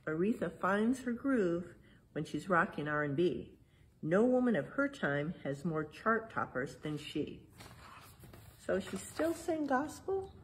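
A middle-aged woman reading a picture book aloud to children in a museum hall, spelling out each page title and then explaining it gently. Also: lowish voice, a little hiss or hum. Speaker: museum storytime reader. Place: indoor museum exhibit hall.

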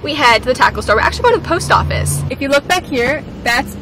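A woman's voice: high-pitched, expressive, quick pace, noisy background. A young American woman hosting a vlog, talking casually and enthusiastically to the camera. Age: young adult. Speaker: fishing vlog host. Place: in a car, outdoors and on a boat deck.

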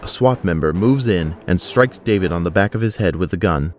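A man's voice: sits low, animated, brisk, noisy background. A man narrating an action audio drama in a sober, scripted way.

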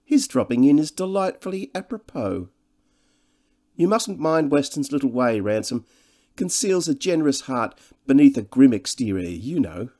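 A man's voice: medium pitch, big pitch swings, normal speed, mellow, voice pushed up. A man voicing a glib, charmer in an audiobook, with a jaunty, flippant manner. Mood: smoothly hospitable. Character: breezy, smooth-talking upper-class schoolfellow with glib charm. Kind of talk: audiobook character dialogue.

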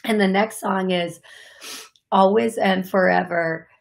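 Middle aged lady, raspy allergy voice, chatty and apologetic, laughs a lot, talking to webcam from her couch. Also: mid-range voice, fairly even intonation, slow pace. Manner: chatty, apologetic, laughs often, gestures with hands. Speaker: psychic medium show host. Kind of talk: solo livestream talk to viewers. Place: living room, seated on leather couch.

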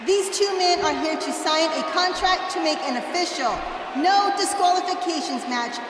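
Female game wrestling official: high, formal, insistent, distant in a reverberant hall. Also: normal speed. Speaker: contract signing official. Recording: distant echoing arena PA, crowd and noise.

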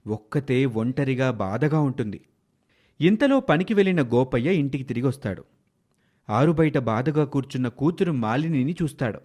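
A man narrating a scripted moral story as a storyteller, in a dramatic, expressive way. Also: mid-range voice, moderate pace.

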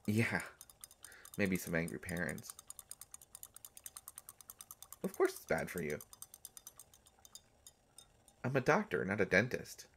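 Deep-voiced, gentle vampire doctor, acted by a man. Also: very animated, creaky, breathy edge, exaggerated voice. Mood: fond, casual. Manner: halting, teasing, soft-hearted speech. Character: gentle, mischievous male vampire doctor, centuries old, affectionate. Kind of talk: intimate romantic audio roleplay.

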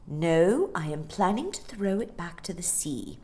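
Lady doing a strict prim nanny from a storybook, scolding and bossy, unhurried, voice moves up and down. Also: fairly low pitch, very animated, a little mellow. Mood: politely firm. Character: stern, proper, imperious nanny woman.

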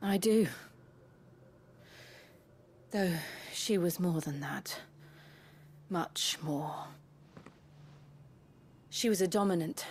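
An actress playing a gentle, solemn young warrior woman with ice powers, speaking in a measured, hushed, grave way in a fantasy game. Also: on the low side, slight background noise. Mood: grave, wondering. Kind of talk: dramatic fantasy video game acting.

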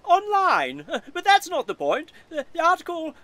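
An earnest British male neighbour acted by a woman in an audio comedy play. The voice is high and very animated in pitch. He is polite, mild-mannered and a little nosy. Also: a little slow, full character voice.

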